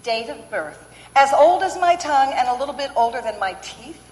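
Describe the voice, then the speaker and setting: A woman playing a practical, skeptical working mother in a radio play, polite, measured and firm. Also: sits high, lively intonation, a little mellow. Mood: skeptical, exasperated. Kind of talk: old-time radio play acting. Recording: echoey hall with faint ambient noise.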